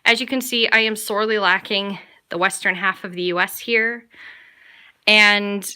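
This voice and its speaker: A woman's voice: mid-range voice, steady tone, normal speed, pushed and bright, clean tone. A young adult American woman chatting casually and enthusiastically to viewers as a travel vlogger, in a rambling way. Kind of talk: casual live Q&A chat to viewers.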